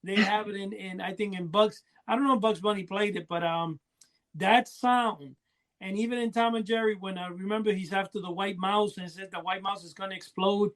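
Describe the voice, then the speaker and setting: A man's voice: high voice, fairly even intonation, a little fast, fairly bright tone. A middle-aged man co-hosting a podcast, telling rambling, nostalgic stories in casual chat. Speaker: podcast co-host.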